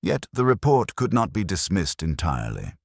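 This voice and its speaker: Male narrator for a scripted mystery: extremely low pitch, animated intonation. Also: moderate pace, vocal fry, dry recording, clean studio sound.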